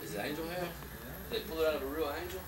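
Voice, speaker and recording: A young man helping in a home kitchen, making dry jokes in a slow drawl. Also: fairly high pitch, fairly even intonation, reverberant, very noisy. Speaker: co-host helper.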